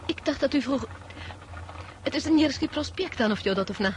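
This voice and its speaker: Timid young lady, higher-pitched, polite. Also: animated, brisk, slight background noise. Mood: flustered. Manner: polite, hesitant, formal. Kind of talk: radio drama acting.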